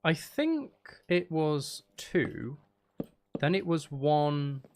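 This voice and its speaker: A man's voice: fairly high pitch, big pitch swings, drawn-out words, a little mellow. Man muttering playfully, some background noise. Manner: mutters and counts aloud to himself; playful, self-deprecating, sweary. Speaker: streamer. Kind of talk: casual gameplay commentary while building.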